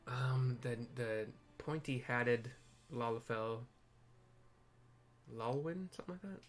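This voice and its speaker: A man's voice: mid-range voice, animated, takes it slowly. A man giving casual playthrough commentary as the narrator of a video game. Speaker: gameplay narrator.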